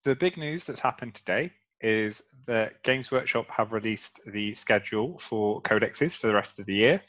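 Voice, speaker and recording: A man's voice: lowish voice, lively intonation, moderate pace, fairly bright tone, lo-fi sound. A man co-hosting a casual podcast, talking with many ums as he explains game lore at length. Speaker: podcast co-host.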